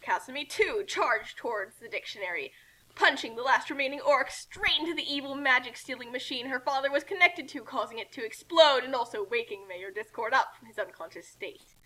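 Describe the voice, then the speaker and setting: A woman reading a comic fan-fiction story aloud in animated narration, with casual asides. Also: high-pitched, fairly quick, rough recording.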